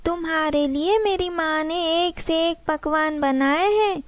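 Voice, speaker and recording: A woman's voice: extremely high pitch, slow, dry recording, pitched above the performer's own voice. A woman voicing a cheerful, eager young male rabbit in a children's cartoon story. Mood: eager, hospitable.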